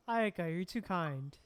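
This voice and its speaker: Slow, lively-pitched woman streamer. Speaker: streamer reacting to a game. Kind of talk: casual live gameplay commentary.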